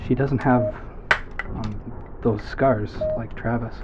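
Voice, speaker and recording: A man's voice: medium pitch, fairly even intonation, slow pace, lo-fi sound, background noise, close to an everyday voice. An actor playing an awkward, literal-minded young man on a spaceship crew who stammers when nervous.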